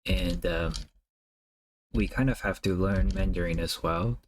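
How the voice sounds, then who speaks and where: Low-voiced Cantonese-native streamer musing aloud. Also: male voice, measured pace, slight background noise. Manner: soft, musing, thinks aloud with many fillers. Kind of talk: casual livestream chat while drawing.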